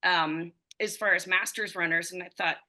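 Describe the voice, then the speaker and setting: A woman's voice: fairly low pitch, steady tone, moderate pace, pushed and bright. A woman in her fifties, a running coach and physical therapist, telling her story as a podcast guest in a loose, rambling way full of fillers. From United States. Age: 50s. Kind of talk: conversational podcast interview.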